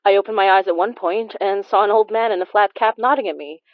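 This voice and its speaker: Casting: actress as sensitive young woman in audio fiction, anxious, stammering, gentle; mid-pitched, dry studio sound. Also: no room sound, narrow, tinny audio, natural voice. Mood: reflective narration. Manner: hesitant, halting, stammering. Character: gentle, anxious young woman who senses haunted objects. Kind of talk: audio fiction acting with first-person narration.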